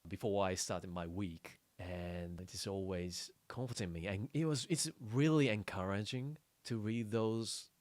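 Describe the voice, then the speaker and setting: Casting: male podcast co-host, thirties, lowish voice, halting and repetitive phrasing, close dry sound. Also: darkish tone, no echo. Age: 30s. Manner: halting, repeats words. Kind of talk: casual podcast chat.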